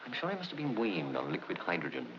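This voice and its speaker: An actor playing a suave secret lover in a 1950s film, smooth-talking and drily sardonic. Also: male voice, fairly low pitch, wildly swooping pitch, fast, background noise. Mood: dry, nonchalant. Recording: muffled old film soundtrack, music behind.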